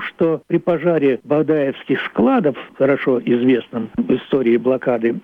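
A man's voice: mid-range voice, slightly rough, no room sound. An older man from Leningrad, a playwright recounting memories in an unscripted, explanatory way. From Leningrad, Russia. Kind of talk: unscripted storytelling, recollection. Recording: muffled, phone-like narrowband audio.

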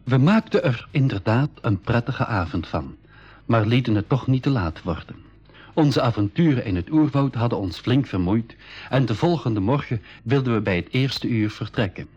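A man's voice: medium pitch, animated, normal speed, darkish tone, breathy edge. A man playing a storyteller who narrates his own adventures in a scripted school radio play. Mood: calm narrating. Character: male storyteller recalling his jungle adventures. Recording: dull, lo-fi 1980s radio recording.

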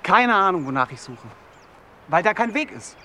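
An actor playing a vulnerable, brooding young outcast in a naturalistic radio drama, speaking in an earnest, halting, searching way. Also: male voice, high-pitched, sing-song, sharp tone, a little hiss or hum, exaggerated voice. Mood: anguished.

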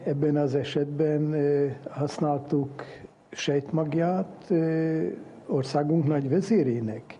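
Man playing a halting foreign scientist, dark-toned. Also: fairly high pitch, fairly even intonation, close to an everyday voice. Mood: earnest, explaining. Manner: halting, searching for words, mixing in English terms. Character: earnest foreign cloning scientist from an island dictatorship, broken Hungarian. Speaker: interviewed geneticist. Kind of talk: mock science interview. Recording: muffled lo-fi with faint background noise.

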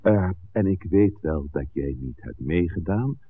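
An actor playing a stern, shrewd village priest who scolds in a measured, formal way in a 1960s radio play. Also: male voice, low voice, expressive. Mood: stern, reproachful. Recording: tinny, noisy old radio recording.

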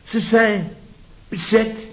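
An actor playing a pompous middle-aged building boss in a radio comedy, blustering indignantly and repeating himself. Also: male voice, high-pitched, steady tone, extremely slow, very noisy. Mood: indignant storytelling. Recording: dull, lo-fi old radio recording.

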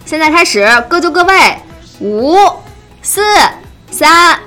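A woman's voice: high voice, expressive, slow pace, pushed and bright, faint music behind. A woman hosting a live online event in a chatty way, often repeating and rephrasing as she steers the talk along. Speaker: livestream host.

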